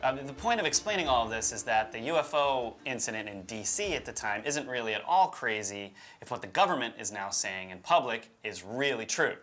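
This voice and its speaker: Casting: male co-host, informal and opinionated commentary, forceful bright voice, light music underneath. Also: mid-range voice, expressive, brisk, few pauses. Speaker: co-host of a UFO show. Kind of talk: conversational commentary. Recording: music behind the voice. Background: background music.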